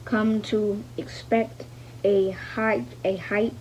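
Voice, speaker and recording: A woman's voice: mid-range voice, fairly even intonation, takes it slowly, darkish tone. A woman reading a novel aloud hesitantly, stumbling over words, repeating fragments and speaking the punctuation. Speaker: learner reading a book aloud. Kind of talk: halting read-aloud of a novel. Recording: dull lo-fi sound with faint noise.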